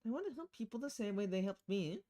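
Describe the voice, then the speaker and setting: A woman's voice: medium pitch, lively intonation, fast, mellow, lightly acted. A woman voicing an earnest, modest female knight, chatting in a friendly way in a video game. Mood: earnest, reflective.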